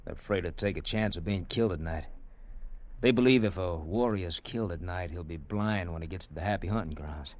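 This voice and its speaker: Man as low-voiced, knowing frontier trooper. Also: a little fast, darkish tone, natural voice. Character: seasoned, matter-of-fact male frontier cavalry soldier. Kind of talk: 1950s radio western acting. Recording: dull vintage radio recording.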